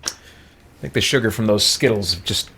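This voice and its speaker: A man's voice: on the low side, normal speed, gravelly, pushed and bright, a little hiss or hum. A man hosting a roleplay let's play, talking casually with playful, theatrical asides. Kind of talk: casual roleplay game commentary.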